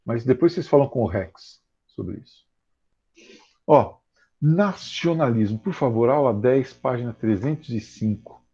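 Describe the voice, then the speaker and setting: A Brazilian man teaching a history class online over a video call, explaining with many fillers and slowly repeating key terms. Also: mid-range voice, animated, dark tone, husky. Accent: Brazilian Portuguese. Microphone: computer mic on a video call. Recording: muffled, slightly dull video call audio.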